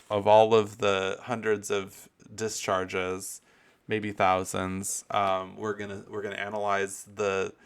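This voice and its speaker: A man's voice: fairly low pitch, a bit bright, clean tone. A man hosting a podcast, talking in a candid, profane and campy way with laughing asides. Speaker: podcast host. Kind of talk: casual solo podcast monologue.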